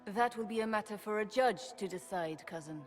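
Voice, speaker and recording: An actress playing an imperious, stern Empress in a video game, regal and authoritative. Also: female voice, medium pitch, brisk, soft background music, lightly acted. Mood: cold.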